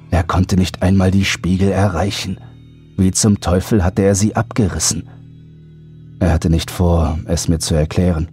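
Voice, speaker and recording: A man's voice: deep voice, dark tone. A man reading a scripted first-person horror story as an audiobook narrator. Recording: background music under voice.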